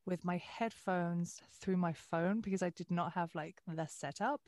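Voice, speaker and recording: A woman's voice: on the low side, a little slow, darkish tone, no echo, studio quality. A woman new to voiceover chatting casually, enthusiastic and rambling. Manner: enthusiastic, rambling with fillers and restarts. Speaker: voiceover newcomer. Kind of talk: casual podcast chat.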